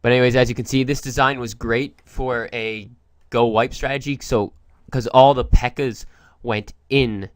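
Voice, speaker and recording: A man's voice: mid-range voice, fairly even intonation, normal speed, no room sound, studio quality. A young man commentating game replays, explaining each attack step by step, with a cold wearing on his voice. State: has a cold, voice going. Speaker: gaming commentator.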